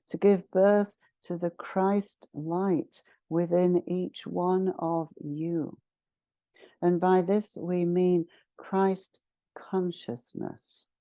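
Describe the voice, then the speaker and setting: A woman leading a spiritual guided meditation in a solemn, ceremonial manner, speaking in short measured phrases with long pauses. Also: lowish voice, mellow, dry recording. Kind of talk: guided meditation, spiritual invocation and channelled message.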